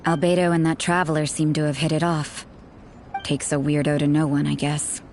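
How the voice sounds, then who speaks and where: A woman's voice: low-pitched, flat delivery, moderate pace, darkish tone, a little hiss or hum. A woman voicing a suspicious, wry female onlooker who muses to herself in a video game.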